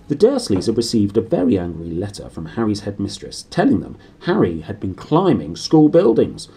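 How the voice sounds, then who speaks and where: A man's voice: lowish voice, dark tone, slightly rough. A man in his thirties with a British accent, reading a storybook aloud in a small room. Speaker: reader.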